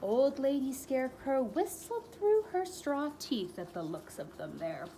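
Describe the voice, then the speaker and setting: A woman reading a children's book aloud as a storyteller, expressively, with dramatic pauses and emphatic repetition. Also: high voice, very animated, background noise.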